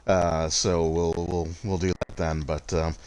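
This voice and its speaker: A man's voice: sits low, flat delivery, a little slow, a little mellow. A man streaming a video game, chatting casually in a rambling way full of fillers, his voice getting tired.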